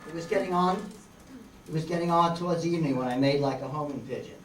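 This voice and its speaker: Guy acting as hardboiled radio detective, slangy wisecracks, highish voice, echoey n noisy. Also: quick pace, close to an everyday voice. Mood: wry narrating. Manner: slangy, brash, sardonic. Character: wisecracking, hard-boiled male private eye. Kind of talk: old-time radio detective narration and dialogue. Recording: roomy, noisy, distant microphone stage recording.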